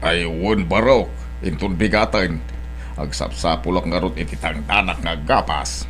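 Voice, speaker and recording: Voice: deep-voiced man playing kindly older father. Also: steady tone, measured pace, a bit bright, faint music behind. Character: kindly older rural father and farm employer. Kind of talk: Ilocano audio drama acting.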